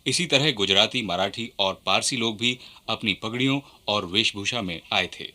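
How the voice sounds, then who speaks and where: A man's voice: lowish voice, moderate pace, sharp tone. A man narrating a scripted historical radio drama.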